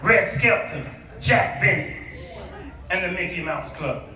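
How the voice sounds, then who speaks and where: High-pitched American preacher in an echoing hall. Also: male voice, expressive, pushed and bright. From Chicago, United States. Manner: theatrical, exclamatory, drawn-out emphasis with rhetorical questions. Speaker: guest preacher. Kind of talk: nostalgic sermon to a congregation. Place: church hall, preaching to a congregation. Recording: echoey hall, distant microphone.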